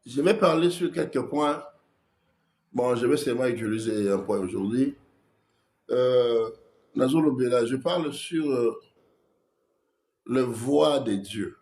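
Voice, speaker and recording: A man's voice: medium pitch, a little slow, long pauses, darkish tone. A man with a Congolese French accent, a pastor with a cold, greeting viewers by name on a livestream before his sermon. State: has a cold, coughing.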